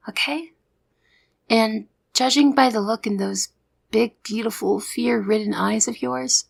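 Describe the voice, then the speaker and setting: A young woman playing a soft-hearted, easily exasperated secret agent in an ASMR audio roleplay. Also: on the high side, creaky, slightly rough, full character voice.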